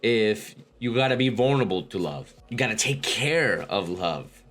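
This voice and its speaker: Low-voiced man reading aloud, casual asides. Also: lively intonation, moderate pace, a bit bright. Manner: profane, informal asides with bro slang. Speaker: book read-along host. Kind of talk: reading a book aloud with casual, slangy commentary.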